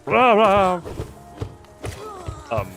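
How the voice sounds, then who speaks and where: Animated, higher-voiced man streaming a game. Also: very slow, gravelly, over loud music. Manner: playful, rambling, breaks into exclamations and silly sound effects. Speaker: live streamer. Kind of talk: casual live gameplay commentary.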